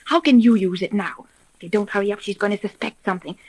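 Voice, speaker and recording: Casting: actress as radio-serial lady private eye, medium pitch, brisk, earnest, composed and in charge. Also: a little mellow, lightly acted. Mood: urgent. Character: brisk, level-headed woman private detective running an agency. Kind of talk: 1930s radio detective serial acting.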